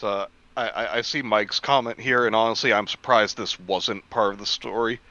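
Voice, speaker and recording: A man chatting casually and jokingly as a guest on a stream, halting now and then and swearing. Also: on the low side, fairly even intonation, bright, forceful tone, no echo, lo-fi sound.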